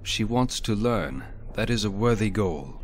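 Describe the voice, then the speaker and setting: An actor playing a calm, courteous male hero of a fantasy video game, direct and composed. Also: lowish voice, a little slow, a little hiss or hum. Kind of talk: voiced video game protagonist.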